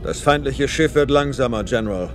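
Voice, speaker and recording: An actor playing a dutiful clone commander, reporting in a clipped military manner. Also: male voice, mid-range voice, brisk, music in the background, lightly acted.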